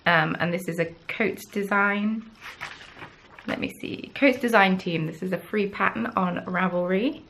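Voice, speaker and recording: A woman's voice: mid-range voice, a little slow, gravelly, fairly bright tone, slight background noise. Chatty Southern English woman podcaster. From south of England. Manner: chatty, rambling, self-deprecating. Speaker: podcast host. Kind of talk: casual chat.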